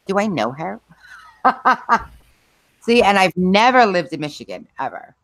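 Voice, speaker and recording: A woman's voice: medium pitch, sing-song, measured pace, a bit bright, no room sound, low-quality recording. A middle-aged woman from New York hosting a livestream, chatting casually, rambling between topics and reading out the chat with frequent laughs. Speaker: livestream host.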